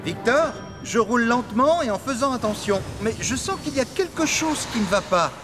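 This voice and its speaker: A fretful young cartoon steam engine with a high voice that swings up and down. He is eager yet hesitant and easily worried. Music plays clearly behind him. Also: animated, moderate pace. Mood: anxious, cautious. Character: anxious young male steam locomotive, eager but fretful. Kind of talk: French children's cartoon dubbing.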